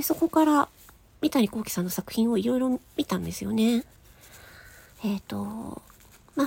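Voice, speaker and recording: A woman chatting casually on her own as a podcast host, in a giggly, chatty manner that trails into breathy asides. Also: a little high, a little mellow, no echo. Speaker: podcast host and amateur voice actress. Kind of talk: casual solo chat.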